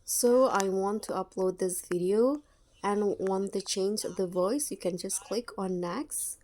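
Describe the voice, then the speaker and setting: A woman presenting a step-by-step screen tutorial as a voice-over, with a halting, instructional delivery. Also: medium pitch, darkish tone.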